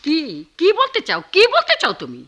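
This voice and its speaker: A woman's voice: extremely high pitch, huge pitch swings, normal speed, bright, forceful tone, heavily acted. An actress playing a compassionate, outspoken middle-aged wife in a radio drama, reproachful and indignant with her husband.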